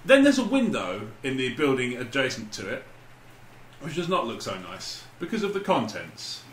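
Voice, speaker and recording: A man's voice: medium pitch, big pitch swings, normal speed. A man co-hosting a podcast, chatting casually and comically. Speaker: podcast co-host. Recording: echoey room with faint noise.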